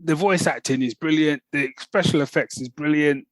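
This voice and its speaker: A man's voice: fairly high pitch, moderate pace, dry recording, poor audio. A man co-hosting a podcast, talking casually and enthusiastically as a fan, in a chatty, informal way that often checks in with the listener. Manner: chatty, informal, often checks in with "right?" and "you know what I mean". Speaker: podcast co-host.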